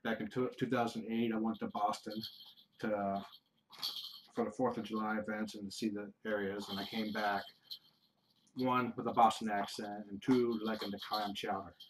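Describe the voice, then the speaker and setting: A middle-aged American man chats loosely through a livestream Q&A, voice sitting fairly low. He wanders and restarts his sentences often. A faint hum of room noise sits behind him. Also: little pitch movement, poor audio. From United States. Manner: rambling, halting, with frequent restarts and fillers. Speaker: kitten foster livestreamer. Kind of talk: casual livestream Q&A, reading out viewer questions.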